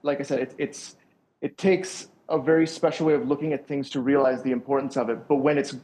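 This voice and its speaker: A man's voice: medium pitch, steady tone, a little fast, mellow. A young man, a sound engineer, answering interview questions over a call in a thoughtful, rambling way with many restarts.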